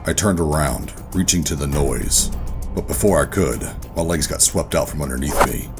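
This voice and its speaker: An actor playing a hardened, wary operative who narrates a sci-fi horror audio drama in a brooding, deliberate, terse way. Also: male voice, a bass voice. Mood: tense, brooding. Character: hardened covert operative narrating, adult man, wary and steady. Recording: music behind the voice.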